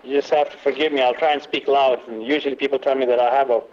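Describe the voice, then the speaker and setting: A man leading a church workshop talk, loud but not booming, halting with many fillers and quizzing the audience. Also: fairly even intonation, a little hiss or hum. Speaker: workshop teacher. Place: meeting room, away from the podium. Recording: dull lo-fi recording.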